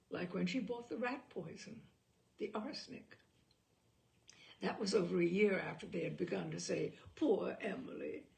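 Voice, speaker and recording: A woman reads a literary story aloud in a voice with plenty of gravel and creak. Her pitch sits in the middle range for a woman. The phrasing is measured and dramatic, shaped like an expressive audiobook narration.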